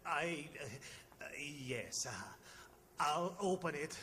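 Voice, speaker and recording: A man's voice: on the high side, slow pace, heavy background noise. An actor playing a nervous, evasive restaurant man, stammering and deferential, in an old-time radio drama.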